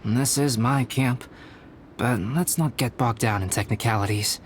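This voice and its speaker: A man playing a reserved, polite young alchemist in a video game, speaking in a measured, formal manner. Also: mid-range voice, slight background noise, natural voice. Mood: calm, gracious. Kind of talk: video game character acting. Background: music.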